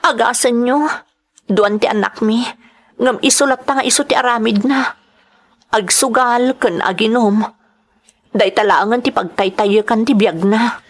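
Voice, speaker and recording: Drama heroine played by a woman: put-upon young mother, plaintive yet determined, higher-pitched, sincere delivery. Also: measured pace, long pauses. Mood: resigned, hurt. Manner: plaintive, earnest. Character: long-suffering young wife and mother, pleading, quietly determined. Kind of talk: Ilocano audio drama acting.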